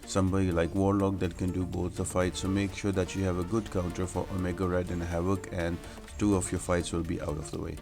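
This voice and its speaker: Male gaming guide host, low pitch, little variation in tone, explanatory walkthrough commentary, faint background music. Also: level tone, a little fast, few pauses.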